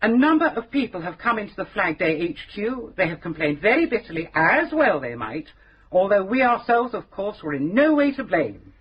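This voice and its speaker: An actress playing an imperious middle-aged charity secretary, haughty and commanding, in a radio drama. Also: female voice, mid-range voice, big pitch swings, moderate pace, slightly rough. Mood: indignant. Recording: dull old radio broadcast.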